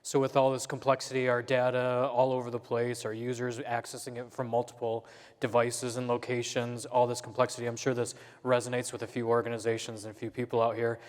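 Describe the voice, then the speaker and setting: A man moderating a conference panel, putting brisk prompting questions to a guest. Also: medium pitch, completely flat delivery. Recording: long reverb of a hall.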